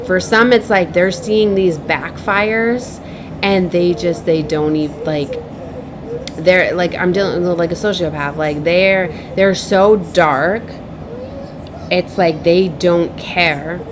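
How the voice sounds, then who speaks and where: A woman giving an improvised spiritual message as a tarot reader, speaking in a halting, repetitive, earnest and cautioning way. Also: fairly low pitch, noisy background. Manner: halting, repeats herself, circles back.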